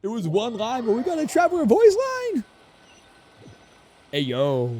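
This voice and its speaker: Expressive game streamer with lively pitch. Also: measured pace. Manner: informal, expressive, talks to the characters. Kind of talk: casual gameplay reaction commentary.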